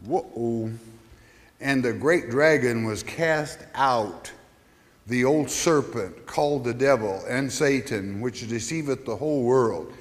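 Pastor in a reverberant hall. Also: male voice, medium pitch, slow pace. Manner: conversational, asks the listeners questions, reads scripture aloud. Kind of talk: Bible study teaching.

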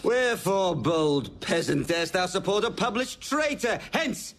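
High-pitched haughty villainous servant. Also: male voice, big pitch swings, measured pace, fairly bright tone, slight background noise, heavily acted. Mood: angry, commanding. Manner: sneering, theatrical. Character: haughty, foppish villainous servant hunting a bounty. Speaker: actor. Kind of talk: Shakespearean audio drama.

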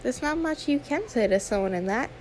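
A woman's voice: sits high, lively intonation, a little fast, a little mellow, a little hiss or hum. A woman voicing an anxious, sweet young female YouTuber in an audio roleplay, stammering, awkward and apologetic. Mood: awkward, kind. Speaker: ASMR roleplay performer. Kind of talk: one-sided ASMR audio roleplay.

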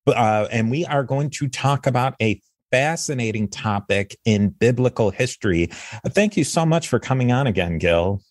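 A man's voice: medium pitch, animated, normal speed, no room sound, clean studio sound. A man hosting a history podcast, reading thanks to supporters and then chatting earnestly with a guest. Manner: earnest, with fillers and restarts. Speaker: podcast host. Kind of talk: casual podcast conversation.

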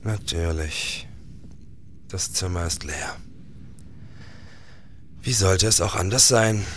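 A man's voice: deep voice, takes it slowly, a bit bright, a little hiss or hum. An actor playing a grieving, sleepless police detective in a radio drama, formal and literary in his telling. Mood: despairing. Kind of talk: radio drama acting and narration.

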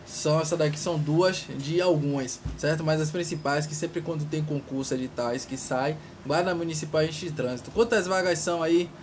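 A man in his thirties with a fairly high voice talks informally to the camera. He checks in with short tag questions and repeats points for emphasis. The phone recording carries some faint room noise. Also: fairly even intonation, brisk, few pauses. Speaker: vlogger on public service exams. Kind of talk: informal news update to camera. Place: small tiled utility room indoors. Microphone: phone at arm's length.

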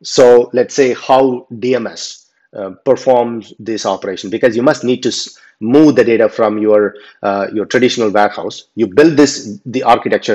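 Low-voiced man lecturing over slides. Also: a little mellow. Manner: unscripted, many fillers and restarts. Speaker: instructor. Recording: dull lo-fi voice recording.

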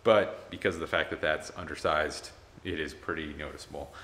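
A man's voice: a bass voice, steady tone, a little fast, a bit bright. A man in his thirties talking casually and matter-of-factly to camera, like a DIY builder explaining his project. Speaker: DIY builder, video host. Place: small garage workshop, indoors. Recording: clear background noise in garage workshop.

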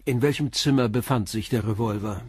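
Man playing police inspector, husky voice, medium pitch, terse, probing, calm, dry studio radio drama. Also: brisk, dry recording, close to an everyday voice. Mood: dry, insistent. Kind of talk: German radio crime drama acting.

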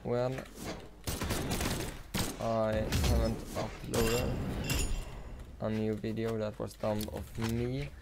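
A young man with a Swedish accent rambles along over his gameplay, slow and low-pitched, with a gravelly, flat delivery full of fillers. Loud noise and clicking sit under his voice. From Sweden. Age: teens. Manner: rambling, many fillers and pauses. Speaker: gaming YouTuber. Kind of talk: casual commentary while playing.

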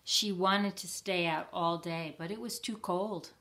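An older woman reading a picture book to children, deliberate and given to asking them simple questions. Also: fairly low pitch, fairly even intonation, sharp tone. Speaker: library storytime reader.

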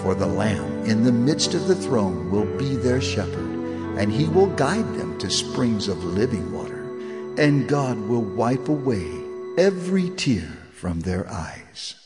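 A man playing a solemn, gentle heavenly elder in a scripture audio drama, speaking in a measured way. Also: mid-range voice, very animated. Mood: solemn comfort. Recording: prominent music under the voice.

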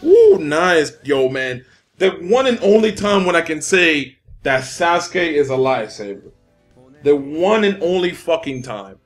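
A man's voice: fairly high pitch, sing-song, unhurried, faint music behind. A young man from the Dominican Republic giving casual, profane commentary while playing a fighting game, ranting and easily irritated. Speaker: gameplay commentator.

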